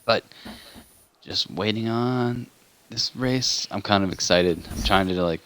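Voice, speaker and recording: A man with a very low voice calls a live sim racing event in a relaxed, chatty way. He laughs easily between lines. He talks like he is saving his voice. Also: takes it slowly. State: saving a tiring voice. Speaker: race commentator.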